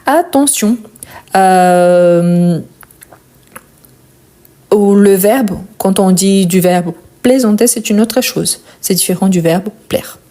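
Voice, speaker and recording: A woman's voice: mid-range voice, slow, mellow. A woman with a non-native French accent giving a live French conjugation lesson, patiently repeating each verb form with fillers and asides. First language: not French. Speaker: French teacher.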